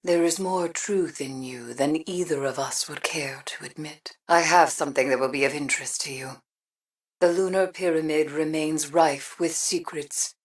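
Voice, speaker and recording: An actress playing a solemn, haunted witch in a game, grave, deliberate and cryptic as she counsels. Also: low voice, recorded from a distance, close to an everyday voice. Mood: reflective. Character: solemn, haunted witch seeking peace and guiding others. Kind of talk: dramatic game voice acting.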